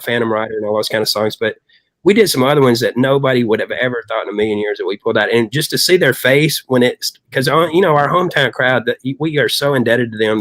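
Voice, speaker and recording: A middle-aged man talks in a chatty, long-winded storytelling style. His voice sits at an average pitch for a man. The sound is dry, with almost no room in it. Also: a bit bright, no room sound, rough recording. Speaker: rock singer, guest. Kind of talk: casual anecdotal storytelling.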